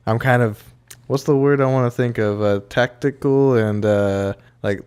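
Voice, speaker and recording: Smooth-voiced young man, loose chat. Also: medium pitch, fairly even intonation, moderate pace, a little mellow. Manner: loose and profane, with restarts and "like". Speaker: podcast co-host. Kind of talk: casual chat.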